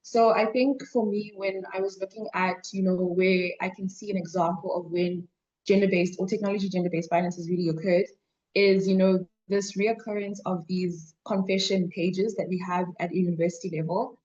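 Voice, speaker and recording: A woman's voice: lowish voice, steady tone, moderate pace, darkish tone, dull audio. A young woman student, speaking on a panel in a hesitant way with many fillers. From Zimbabwe, raised in South Africa. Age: young adult. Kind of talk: panel remarks.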